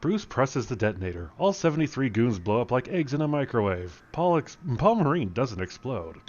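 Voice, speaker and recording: Animated man narrating, slightly dull sound. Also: medium pitch, moderate pace. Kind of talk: dramatic comic reading of a script.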